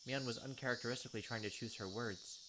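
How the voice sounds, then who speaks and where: Man, let's play narrator, reads game text, joking asides, mid pitch, noisy. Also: fast.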